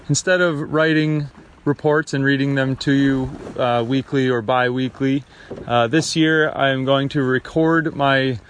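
A man's voice: mid-range voice, a bit bright. An American man, a fishing guide, speaking casually and unscripted as he gives a radio-style outdoor report. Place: outdoors on a river boat.

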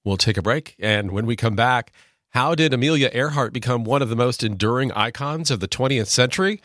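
A man hosts a radio programme from a script. His voice has an average male pitch and a very dry, studio-close sound with no room around it. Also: brisk, fairly bright tone, dry recording. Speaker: radio host.

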